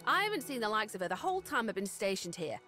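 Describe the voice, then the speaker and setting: A woman's voice: mid-range voice, sing-song, fast, bright, forceful tone, soft background music. A woman playing a vigilant, dutiful paladin guard in a fantasy video game, speaking in a clipped, formal way.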